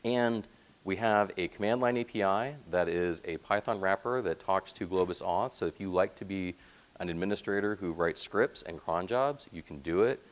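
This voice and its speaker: A man with a deep, resonant voice presenting technical slides at a conference, explaining things in a plain, informal way. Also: normal speed, low-quality recording. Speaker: conference presenter, software platform team member. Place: presentation room, speaking to slides.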